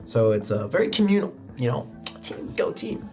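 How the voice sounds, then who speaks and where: A man serves as game master for a tabletop roleplaying game. He improvises narration in a medium-pitched voice, informal and conversational. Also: big pitch swings, moderate pace, darkish tone, faint music behind.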